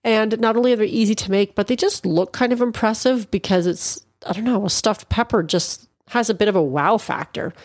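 A woman's voice: mid-range voice, fairly quick, few pauses, darkish tone, no room sound, studio quality. A middle-aged woman from Canada hosting a podcast on her own, talking in a chatty, rambling way and sounding a little congested and nasal. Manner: chatty and rambling, with frequent restarts. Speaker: podcast host. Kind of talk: casual solo podcast monologue.

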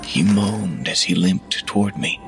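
A male narrator with a low, gravelly voice reads a horror tale at an unhurried pace. His delivery is measured and hushed, sinking to whispers at tense moments. Soft music sits faintly under the narration. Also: steady tone.

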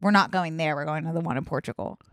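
Chatty young woman with a low, dry voice. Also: quick pace, fairly bright tone, no echo. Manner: chatty storytelling, frequent likes and ums, laughs easily. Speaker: podcast co-host. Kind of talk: casual chat.

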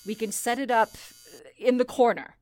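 A woman playing a calm, commanding telephone switchboard supervisor in an old-time radio play, with a deliberate, authoritative way of speaking. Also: fairly high pitch, lightly acted. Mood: firm, organizing. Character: calm, commanding female telephone operator supervisor in wartime-like crisis.

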